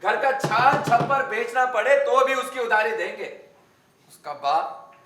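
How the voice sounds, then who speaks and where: Earnest village family man, naturalistic drama; high bright voice, echoey room. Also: unhurried, far from the mic, slight background noise. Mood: indignant. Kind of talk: naturalistic Hindi family film dialogue.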